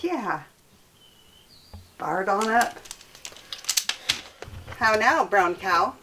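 A woman's voice: mid-range voice, animated, very slow, pushed and bright, a little hiss or hum. A woman chatting casually in a playful, teasing way from behind a camera. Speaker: vlog co-host behind the camera. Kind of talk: casual chat.

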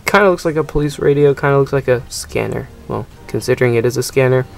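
A man's voice: medium pitch, fast talker, creaky, faint music behind. A young man casually narrating a gadget unboxing, rambling and hesitant with many ums. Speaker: unboxing video host. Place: indoors at a table.

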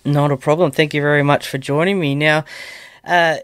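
A man's voice: on the high side, fairly even intonation, normal speed, fairly bright tone, clean tone, no room sound, studio quality. An Australian man hosting a podcast interview, conversational, polite and respectful toward his guest.

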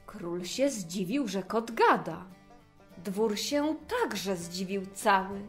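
A woman narrating a rhymed fairy tale for children in an expressive storytelling manner. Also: medium pitch, takes it slowly, soft background music.

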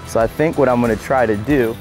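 A man in his thirties, a fishing vlogger, talking casually and excitedly on camera from a small boat on the open ocean, recorded on a boat-mounted camera. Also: mid-range voice, fast talker, soft background music. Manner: excited, breaks into whoops and laughs while fighting fish.

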